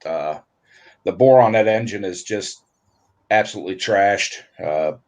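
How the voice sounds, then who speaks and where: A man, a guest on a livestream, explaining engine work in a relaxed way with lots of fillers. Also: lowish voice, unhurried. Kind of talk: casual technical chat.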